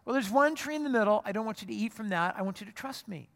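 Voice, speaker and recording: A pastor voicing God as a gentle, reassuring figure who pleads with the first humans to trust him, in an everyday voice. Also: mid-range voice, animated, fast talker, vocal fry. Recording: long reverb of a large hall.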